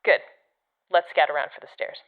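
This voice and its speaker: A woman's voice: a little fast, phone-quality sound, slight background noise, natural voice. An actress playing a blunt, sardonic, foul-mouthed woman agent of a secret department in an audio drama. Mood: sardonic, wary. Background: music.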